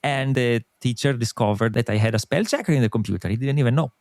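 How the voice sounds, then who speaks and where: A middle-aged man from Italy with an Italian accent, a guest in an interview, explaining his views in an earnest, animated way. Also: mid-range voice, fast, no room sound. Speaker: podcast company co-founder, guest. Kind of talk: interview conversation.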